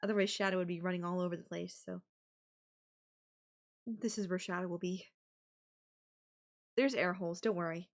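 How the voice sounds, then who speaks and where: A young woman playing a sweet, possessive yandere girlfriend in an intimate audio roleplay, speaking softly and haltingly to the listener. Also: on the low side, steady tone, close to an everyday voice. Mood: doting, gentle.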